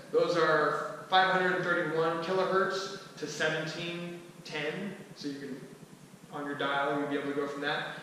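A man in his thirties giving an informal, conversational explanatory talk to a small group, with somewhat halting delivery. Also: a little high, a bit bright. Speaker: presenter at a skillshare. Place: large indoor room with wooden floor and mirror. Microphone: distant camera microphone. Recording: echoey hall, far from mic, faint noise.

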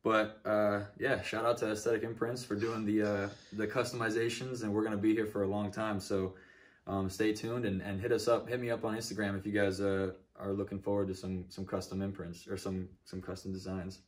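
A young man pitching his clothing brand in a basement shop, hesitant with many fillers, filmed on a phone a few steps away. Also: level tone, a little fast, recorded from a distance. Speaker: guest client, brand founder. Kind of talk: pitching his product to camera. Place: home basement print shop. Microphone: phone camera a few steps away.

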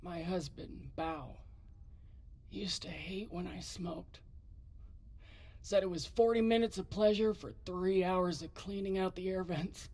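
An actress playing a gruff, guarded, foul-mouthed woman spaceship pilot, speaking bluntly with a husky voice in a video game. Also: on the low side, measured pace, a little mellow. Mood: subdued, reminiscing. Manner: blunt, terse, sardonic. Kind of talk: naturalistic video game acting.

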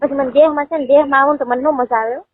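A woman's voice: high voice, steady tone, racing, dry recording. A woman with a Gujarati accent chatting casually and intimately on a phone call, affectionate and fond of pet names. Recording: tinny, narrow phone-call line.

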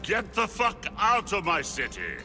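A man's voice: high-pitched, huge pitch swings, measured pace, bright, forceful tone, soft background music, heavily acted. An actor playing a brutal, foul-mouthed male gang boss in a video game, snarling profane threats. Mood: furious. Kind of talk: video game cutscene acting. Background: game score.

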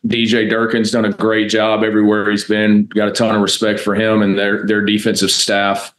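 American male coach, 30s, low register, very flat delivery, press-conference answers, measured and matter-of-fact. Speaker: college football offensive coordinator. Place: indoor press room, seated at a table before a backdrop.

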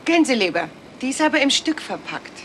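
A woman's voice: sits high, sing-song, fairly quick, a bit bright, a little hiss or hum. An actress playing a businesslike, obliging delicatessen saleswoman in a radio drama, speaking matter-of-factly. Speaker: actor. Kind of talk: 1970s German radio drama acting.

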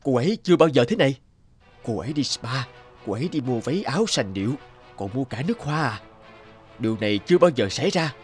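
A man voicing a smug, suspicious middle-aged company boss in a cartoon, blustering with hesitant pauses. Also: medium pitch, lively intonation, soft background music, natural voice. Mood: incredulous. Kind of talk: cartoon dialogue.

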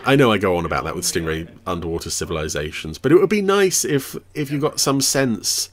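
A man's voice: lowish voice, sing-song, fairly quick, noisy background. A man giving casual, wry, jokey commentary on a TV episode as a podcast host.

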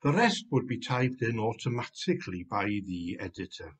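A man in his sixties from Wales, a native Welsh speaker with a Welsh accent, giving a measured, explanatory tutorial recorded at a desk webcam at home. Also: lowish voice, sing-song. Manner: measured, explanatory, some restarts. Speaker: Wikimedia manager presenting a tutorial. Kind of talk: screen-recorded tutorial narration. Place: home room, indoors.

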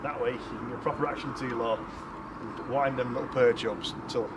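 A man's voice: medium pitch, fairly even intonation, fairly quick. A man in his thirties with an English accent, giving a casual, colloquial explanation as a fishing vlogger. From United Kingdom. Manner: informal, colloquial. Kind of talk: casual explanatory vlog chat. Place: outdoors on a canal bank. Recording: noisy outdoor ambience.

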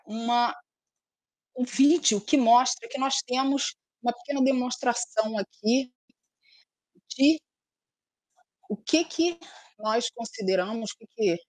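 A woman in her forties giving an online talk over a video call with a headset, speaking in a measured, explanatory, slightly formal way. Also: on the high side, no room sound. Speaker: speaker from a software firm. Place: room at home.

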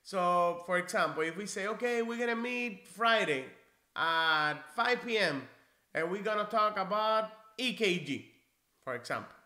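Man, 40s, from Cuba, encouraging instructor on a live stream, bright upper-middle voice, echoey small office. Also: sits high, lively intonation, a little slow. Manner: friendly, encouraging, conversational with restarts. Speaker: NCLEX prep instructor. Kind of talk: live-stream Q&A, reading viewer comments. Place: small indoor office, seated in leather chair.

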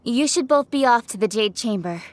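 Woman acting young noblewoman, higher-pitched, confident, blunt, formal, assertive, video game dialogue, faint music behind. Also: expressive, a little fast, close to an everyday voice. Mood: brisk, self-assured. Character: confident, brisk young noblewoman, frank official.

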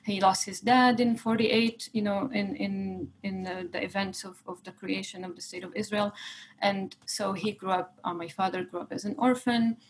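A woman in her thirties from Palestine, interviewing in an earnest way with many pauses, speaking through earphones on a video call from her living room. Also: mid-range voice, steady tone, slight background noise, rough recording. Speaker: interviewer, executive director. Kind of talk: personal conversational interview. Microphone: earphone headset on video call.

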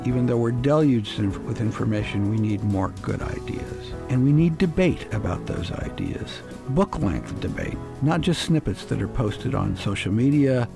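A man's voice: medium pitch, creaky. A man hosting a radio show in a conversational style.

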